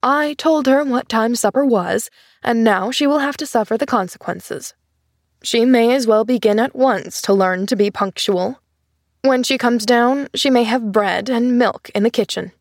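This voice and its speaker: A woman's voice: a little high, takes it slowly, few pauses, dry recording, clean studio sound. A woman voicing a stern, impatient mistress of the house in an audiobook, clipped and decisive. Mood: severe. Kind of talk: audiobook character voice.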